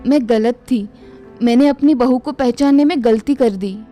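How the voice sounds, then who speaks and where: A woman playing a dramatic, scheming, complaining Indian mother-in-law in an animated family story. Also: a little high, normal speed, faint music behind, close to an everyday voice. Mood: remorseful. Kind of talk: animated Hindi moral story dialogue.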